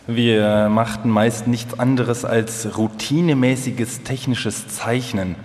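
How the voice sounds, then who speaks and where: Male, playing an older inventor looking back, deliberate and reflective, medium pitch, live radio feature in a reverberant hall. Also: fairly even intonation, darkish tone, natural voice. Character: older inventor recalling his school and student years. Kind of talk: quoted reminiscence in a live radio documentary. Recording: echoey hall with long reverb.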